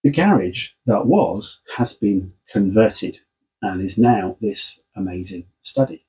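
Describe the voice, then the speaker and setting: Snuffly pastor with a husky, dark voice. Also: male voice, on the low side, lively intonation, takes it slowly, poor audio. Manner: friendly, conversational, informal. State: congested, under the weather. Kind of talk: casual sermon and Bible reading. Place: home study in a converted garage.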